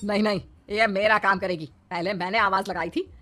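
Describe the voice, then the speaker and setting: A woman's voice: medium pitch, fairly even intonation, fairly quick, sharp tone, soft background music, lightly acted. A woman voicing a demanding, quarrelsome older mother-in-law in a cartoon, bossy and cajoling. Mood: indignant.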